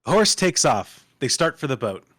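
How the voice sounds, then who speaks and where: A man's voice: mid-range voice, big pitch swings, normal speed, fairly bright tone, no room sound. Man narrating, close and dry. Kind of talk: reading screenplay stage directions aloud.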